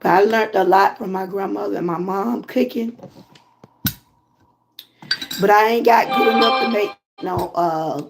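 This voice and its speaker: A Southern woman from Georgia with a hoarse voice, chatting casually with viewers on a live stream from home. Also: medium pitch, a little slow, pauses often, over music. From Georgia, USA. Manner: relaxed, chatty, greets viewers often. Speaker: lifestyle vlogger and channel host.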